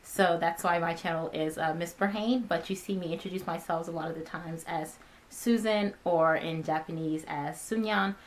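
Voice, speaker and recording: Chatty young woman vlogger, lowish voice. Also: fairly even intonation, normal speed. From born in Ghana, raised in America. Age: 20s. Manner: chatty, friendly, many ums and uhs. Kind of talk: casual talking-head Q&A.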